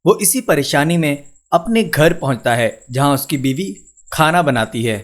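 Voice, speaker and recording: A man's voice: fairly high pitch, expressive, a little slow. A man narrating a scripted moral tale as a storyteller for a cartoon video.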